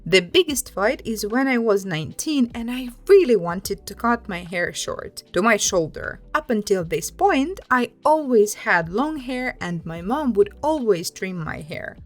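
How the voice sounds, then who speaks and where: A woman's voice: medium pitch, big pitch swings, measured pace, hardly any pauses. A woman narrating written personal stories aloud as a host, in a scripted storytelling style.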